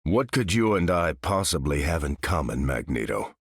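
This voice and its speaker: An actor playing a haughty, disdainful character in a video game, in a theatrical style. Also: male voice, rumbling low, big pitch swings, moderate pace, slightly rough, no echo, studio quality, full character voice.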